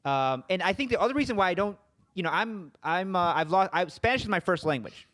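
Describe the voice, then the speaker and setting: Rambling, fast-restarting male podcast host. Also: sits high, animated, fairly bright tone. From Puerto Rican family, raised in US. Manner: rambling, many restarts and fillers. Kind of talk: casual interview talk.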